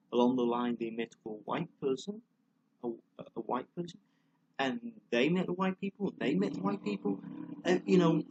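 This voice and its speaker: A man's voice: mid-range voice, breathy edge, rough recording. A man vlogging a rambling, heated monologue, full of fillers, stumbles and repeated words, with plenty of swearing. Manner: swears a lot and gets heated. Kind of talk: ranting monologue to camera.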